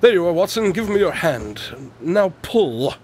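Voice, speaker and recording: An eccentric, inquisitive male detective from a radio drama, played by an actor. His voice sits a little high, and he speaks in neat, formal phrases with a dry wit, as if always ready to wander off after something more interesting. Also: very animated, a little slow, darkish tone, a little hiss or hum. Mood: eager, distracted. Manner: articulate, wry, formal phrasing. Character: eccentric, inquisitive male detective, dryly witty, easily distracted.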